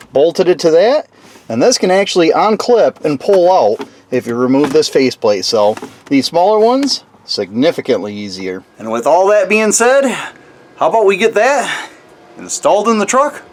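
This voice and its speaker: A man's voice: a little high, a little hiss or hum. A man hosting a DIY build video, talking informally and folksily through a casual hands-on demonstration. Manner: informal, folksy, mild slang. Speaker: host of a DIY truck build channel.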